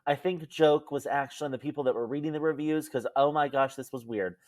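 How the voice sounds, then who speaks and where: A man's voice: fairly high pitch, quick pace, no echo. Casual podcaster man, dry close sound. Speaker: podcast co-host.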